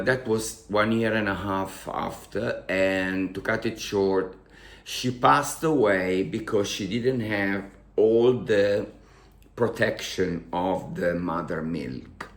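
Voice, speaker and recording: Slow-talking Italian-accented man. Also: lowish voice. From Italy. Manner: emotional, repeats words, halting English with grammar slips. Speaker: vlogger. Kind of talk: casual storytelling to camera.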